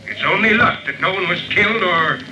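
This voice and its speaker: An actor playing a gruff, commanding fire chief in an old-time radio drama, blunt and blustery. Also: male voice, sits high, brisk, over loud music, natural voice. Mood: gruff, indignant. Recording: noisy, muffled vintage radio recording.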